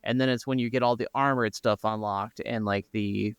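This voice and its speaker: A man's voice: on the low side, fairly even intonation, moderate pace, clean tone, no echo. An American man co-hosting a podcast, chatting casually about games in an informal, rambling way. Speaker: podcast co-host. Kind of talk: casual gaming chat.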